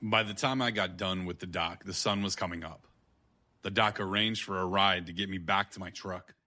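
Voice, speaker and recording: A man's voice: deep voice, fairly even intonation, moderate pace, sharp tone. An actor playing a tough, wry gun for hire in a noir audio drama, blunt in his delivery. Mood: matter-of-fact. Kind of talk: audio drama acting and first-person noir narration.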